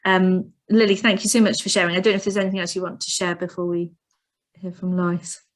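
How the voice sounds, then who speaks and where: A woman's voice: lowish voice, level tone, fast. A woman hosting a webinar on a video call, encouraging her guests and speaking with many fillers. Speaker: webinar host.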